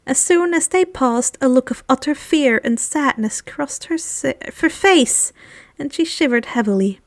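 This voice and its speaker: A woman with a high voice narrates a story expressively, as if reading a book aloud. She now and then stumbles over a word, and the recording is close and dry. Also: lively intonation, a little slow, no echo. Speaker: fan fiction narrator.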